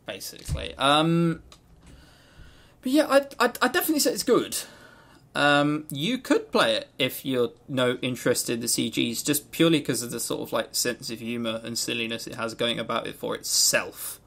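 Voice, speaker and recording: Gravelly, joking man chatting on a podcast. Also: mid-range voice, lively intonation, normal speed, a bit bright. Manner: sweary, jokey, rambling. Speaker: podcast co-host. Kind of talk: casual unscripted visual novel review chat.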